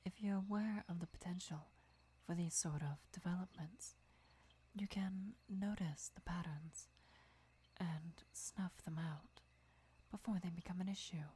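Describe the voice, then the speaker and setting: Woman acting as a slow, whispering vampire lover. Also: fairly low pitch. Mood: calm, instructive. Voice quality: breathy, whispered. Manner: measured, deliberate, soft-spoken explaining. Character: female vampire lover patiently teaching, gentle and devoted. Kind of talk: ASMR roleplay, whispered.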